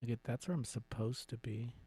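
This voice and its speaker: A man's voice: medium pitch, fairly quick, dark tone, breathy edge, no room sound. A man giving casual, laid-back let's play commentary as he streams a video game.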